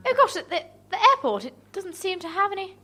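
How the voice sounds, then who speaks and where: A woman's voice: very high-pitched, big pitch swings, fast, music in the background. A woman playing a dismayed, vain, genteel traveller in an improvised comic radio play, recorded live. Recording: live, audience noise.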